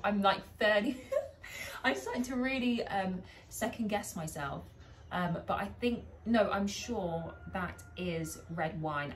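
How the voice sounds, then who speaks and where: A woman's voice: medium pitch, slight background noise. A British woman chatting casually in a vlog, laughing at herself as she tries out foreign phrases. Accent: British English. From England. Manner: chatty, self-deprecating, trying out foreign phrases. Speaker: vlogger. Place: home garden.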